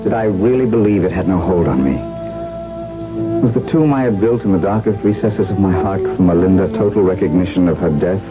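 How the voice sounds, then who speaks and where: A man's voice: low-pitched, fairly quick, close to an everyday voice. A man playing a brooding, haunted widower in a radio drama, speaking with intense, literary melancholy. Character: brooding, haunted widower, tormented by lost love. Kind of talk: dramatic first-person radio narration and dialogue. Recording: dull 1970s radio recording, music behind.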